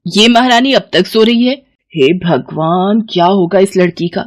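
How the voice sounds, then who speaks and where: A woman acts out a worn-out middle-aged Indian storybook mother, exasperated and prone to nagging. Her voice sits at medium pitch, recorded very dry. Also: a little slow, no room sound, natural voice. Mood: exasperated scolding. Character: scolding, worn-out middle-aged village mother, respectful to elders.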